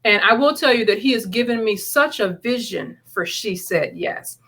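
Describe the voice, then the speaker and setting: A woman's voice: on the high side, lively intonation, normal speed, a bit bright. A woman speaking as a guest author, answering in a conversational, sincere and reflective way about matters of faith.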